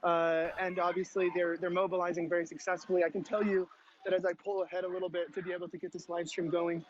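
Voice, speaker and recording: High-voiced man reporting over a noisy feed. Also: flat delivery, fast talker. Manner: hushed, guarded, urgent. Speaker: field reporter. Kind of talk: live street report. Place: outdoors on a street. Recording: noisy, muffled live-stream feed with clicks.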